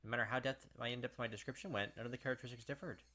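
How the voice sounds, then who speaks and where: A man hosting a gaming video, reading a visual novel aloud with animated, blunt casual commentary. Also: mid-range voice, rapid-fire.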